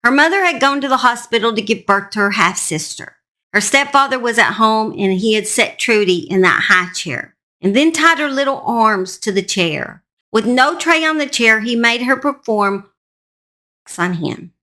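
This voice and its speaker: A woman's voice: on the high side, lively intonation, moderate pace, pushed and bright. A woman host narrating a true crime story in an informal, plain-spoken, conversational way, speaking straight to her listeners.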